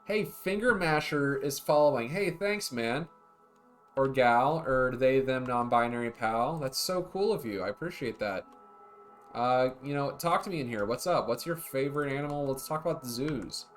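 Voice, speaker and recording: A man's voice: medium pitch, moderate pace, soft background music. A man streaming a zoo-building game, chatting casually and rambling to his viewers as he plays. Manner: laid-back, rambling, talks to chat. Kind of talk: casual livestream chat.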